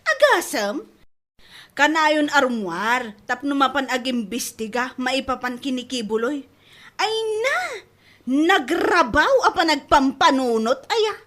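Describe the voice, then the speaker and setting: Woman playing a high-voiced, exasperated Ilocano gossip. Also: expressive, unhurried, a bit bright. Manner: complaining, incredulous. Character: gossipy, exasperated woman scorning a lazy young man. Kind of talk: radio comedy drama acting.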